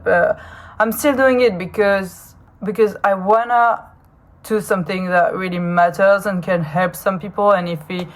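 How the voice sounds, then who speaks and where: A woman's voice: fairly low pitch, a little hiss or hum. A woman from France with a strong French accent, hosting her own podcast in an earnest, hesitant way, often restarting her sentences. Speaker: podcast host. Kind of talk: casual solo podcast monologue.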